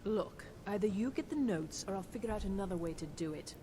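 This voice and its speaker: An actress plays a self-admiring female wizard in a fantasy game, indignant and suspicious of rivals. Her delivery is scornful and haughty. The voice rings in a long reverb over a faint rumbling noise. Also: animated, a little fast, warm tone, lightly acted. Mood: scheming, impatient. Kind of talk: fantasy video game dialogue.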